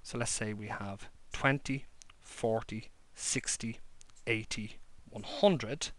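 A man's voice: on the low side, slow, fairly bright tone, dry recording. A man teaching a maths lesson and explaining each step plainly while he draws on paper at a desk. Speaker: maths lecturer. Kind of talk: handwritten whiteboard-style maths lesson. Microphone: overhead camera above a desk.

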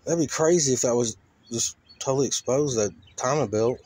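A man's voice: medium pitch, brisk, a little mellow. A man chatting casually and colloquially as he hosts a rambling walk-around video, in a folksy manner, talking half to himself. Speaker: host of a car crushing vlog. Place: outdoors in a junkyard. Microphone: handheld phone or camera, operator behind it. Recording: faint outdoor noise, handheld camera audio.

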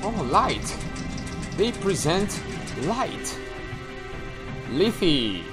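A man's voice: sits high, sing-song, drawn-out words. A young man commentating a horror game as he plays, thinking aloud and startling easily, on a headset mic. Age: young adult. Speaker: let's play gamer. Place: small room indoors. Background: game music.

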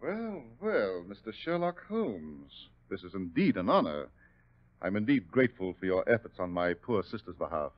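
Low-voiced, courteous doctor. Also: male voice, very animated. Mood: gracious. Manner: polite, measured, formal. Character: courteous, smooth-talking doctor, evasive under questioning. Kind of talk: 1940s radio drama acting. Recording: muffled old-time radio recording.

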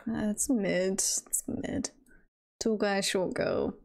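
A woman's voice: medium pitch, very slow, creaky, darkish tone. A woman streamer chatting casually on a livestream, musing in a playful, giggly, hesitant way. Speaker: streamer and voice performer.

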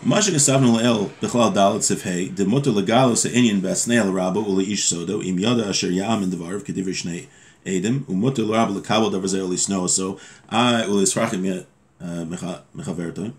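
A man in his thirties giving an informal religious lesson at his desk in a small home room, reading text aloud and explaining it in a halting, self-correcting way. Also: sits low. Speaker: religious teacher.

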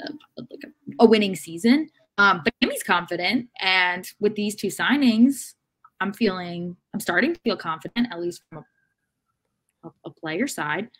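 A woman in her thirties co-hosting an unscripted soccer discussion on a video call, speaking in a measured, hesitant way as she thinks aloud. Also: fairly low pitch. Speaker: sports podcast co-host. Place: room at home.

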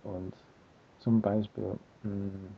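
A man's voice: on the low side, level tone, very slow, creaky, slightly rough, faint music behind. A man in his thirties from Australia, talking to his webcam in a small room, hesitant and searching for words with many fillers. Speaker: language learner vlogger. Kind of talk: casual talk to camera. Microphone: webcam built-in mic. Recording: tinny phone-like lo-fi sound.

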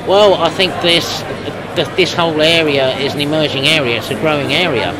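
A man in his fifties being interviewed at a trade show, explaining things in a measured, businesslike way. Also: on the high side, poor audio. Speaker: company representative being interviewed. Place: busy exhibition hall booth. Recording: loud trade-show crowd noise. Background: loud crowd hubbub.

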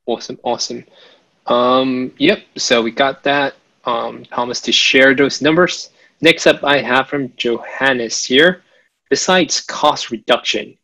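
A man's voice: mid-range voice, pushed and bright. A man hosting an online Q&A, casually reading out audience questions in a hesitant, informal way over a video call. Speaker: Q&A host. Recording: dull lo-fi video call audio.

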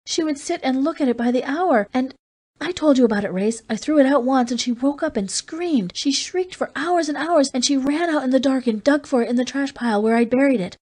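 A woman reading an audiobook, voicing a frightened young woman who pleads through tears in a high, tremulous voice. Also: fairly quick, darkish tone. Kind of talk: audiobook character voice.

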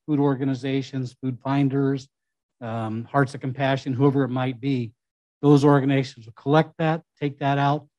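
A man speaks to a city council as a waste management consultant, in a conversational way with restarts and fillers. His voice is mellow and sits at a medium pitch, and the recording is dry. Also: no room sound, lo-fi sound. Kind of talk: presentation at a council meeting.

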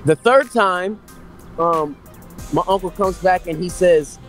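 A man's voice: high-pitched, slow pace, soft background music. A middle-aged man from Los Angeles, a singer telling studio stories on a video call, acting out what others said. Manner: animated storyteller, acts out quotes. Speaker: R&B singer, guest. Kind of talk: casual storytelling interview. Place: small room indoors. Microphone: webcam on a video call.

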